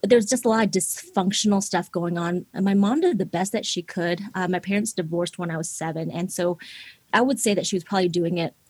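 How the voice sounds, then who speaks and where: A woman in her forties from Seattle, openly telling her personal faith story as a podcast guest, earnest and quick to laugh. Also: lowish voice, a little mellow, dry recording. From Seattle, Washington, USA. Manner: open and earnest, laughs easily, addresses listeners as guys. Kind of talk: candid personal testimony.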